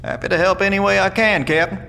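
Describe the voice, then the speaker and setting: Man as folksy, high-pitched convoy leader. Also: fairly even intonation, quick pace, gravelly, sharp tone, full character voice. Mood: obliging. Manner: polite, folksy. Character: obliging, folksy male convoy leader from Louisiana. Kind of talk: audio drama acting. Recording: reverberant room with faint noise and rumble.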